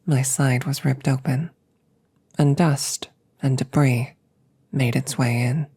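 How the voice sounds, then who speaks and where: Female android, acted by a woman, intimate audio drama: very low, husky near-whisper, calm, protective, measured and reassuring. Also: full character voice. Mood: measured, factual. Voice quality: soft, breathy, often near a whisper. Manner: slow, measured, soothing, formal phrasing. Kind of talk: intimate roleplay audio drama, sleep aid.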